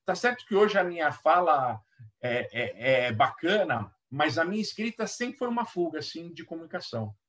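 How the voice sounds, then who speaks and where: A Brazilian man talking casually as an interview guest and author, in a halting manner that repeats words. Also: fairly high pitch, sing-song, fairly bright tone. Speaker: guest author and play educator. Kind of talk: casual explanatory interview talk. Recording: voice somewhat far from mic.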